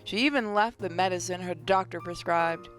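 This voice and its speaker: A woman's voice: fairly low pitch, normal speed, fairly bright tone, soft background music. A woman reading a visual novel's narration aloud, now and then stumbling and rereading a phrase. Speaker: gaming channel host.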